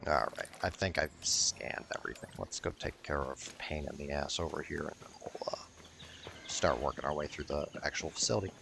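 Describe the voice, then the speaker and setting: A man's voice: a bass voice, brisk, vocal fry. A man giving casual commentary as he plays a video game, grumbling sardonically and swearing freely. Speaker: gaming video host. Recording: noticeable background noise.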